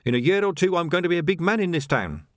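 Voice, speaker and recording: A man's voice: sits high, big pitch swings, normal speed, dry recording, clean studio sound, pitched above the performer's own voice. A man voicing an eager, shifty young pie seller with a nervous salesman's patter, in an audiobook story. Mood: boastful. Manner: salesman's patter, flustered stammering.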